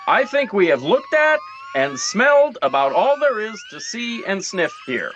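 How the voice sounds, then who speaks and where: A man's voice: high-pitched, huge pitch swings, measured pace, a bit bright, lo-fi sound, a little hiss or hum, exaggerated voice. An actor playing a bossy, exasperated man leading a tour group in a 1940s-style radio comedy.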